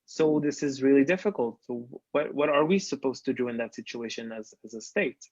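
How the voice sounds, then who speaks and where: A young man from Lebanon talks as a podcast guest, often pausing on ums and starting sentences over. His voice sits at an ordinary pitch. The sound is dry and a bit muffled. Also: darkish tone, no echo. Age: 20s. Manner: hesitant, many ums and restarts, non-native grammar. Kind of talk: casual interview talk.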